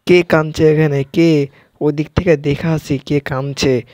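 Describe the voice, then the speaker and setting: A man's voice: a little high, steady tone, unhurried, a little mellow, no echo, lightly acted. A man voicing a kind, lonely young village boy in an amateur cartoon, speaking simply and earnestly. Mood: curious.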